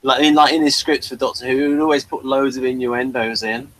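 A man's voice: medium pitch, steady tone, quick pace, a crowd in the background, low-quality recording. A man hosting a casual review chat, explaining things in a rambling way.

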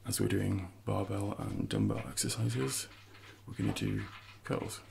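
A man in his thirties speaking softly and breathily in an ASMR roleplay as a personal trainer, often slipping into whispers while instructing. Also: on the low side, flat delivery, a little slow, vocal fry, slight background noise. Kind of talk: soft-spoken ASMR roleplay.

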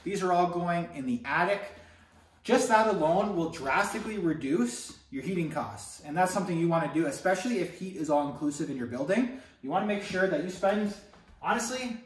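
A man's voice: high voice, expressive, fairly quick. A young man from Canada, a real estate investor, walks viewers through his renovation. He keeps calling them guys, upbeat and chatty, in an empty apartment with the camera a few steps away. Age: young adult. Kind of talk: walkthrough tour talking to camera. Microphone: camera held by another person, a few steps away. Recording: echoey empty room, mic at a distance.

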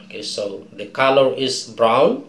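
A man in his thirties with an Indonesian accent, teaching English vocabulary and pronunciation, drilling words slowly and tagging "okay?" onto his points. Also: mid-range voice, lively intonation, a bit bright, reverberant. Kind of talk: slow pronunciation lesson. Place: small indoor room before a colored backdrop.

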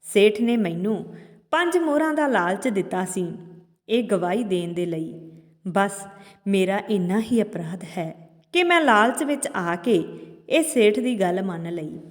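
A woman's voice: mid-range voice, expressive, unhurried, lightly acted. Woman voicing a servile, pleading healer in reverb. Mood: fearful, pleading. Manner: deferential, pleading. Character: servile, frightened male village healer. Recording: long hall-like reverb on voice.

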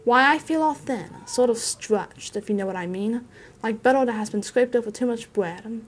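A woman's voice: on the high side, normal speed, close to an everyday voice. A woman voicing an elderly male hobbit, stubborn, touchy and possessive, in an emphatic, testy storybook reading. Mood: weary, wistful. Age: older.

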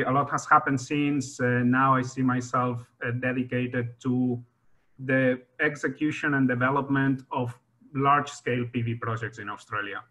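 A man's voice: medium pitch, pushed and bright, poor audio. A man in his thirties from Spain with a Spanish accent, giving an online slide presentation over a video call, talking loosely with small laughs. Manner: many fillers and restarts, friendly with small laughs. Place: home room on a video call. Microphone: video call webcam.

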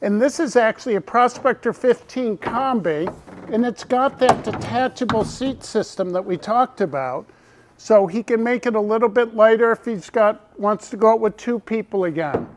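A man's voice: high-pitched, moderate pace, slight background noise. A middle-aged man presenting new products at a launch. He talks enthusiastically and speaks to viewers and staff by name. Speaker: company owner presenting boats.

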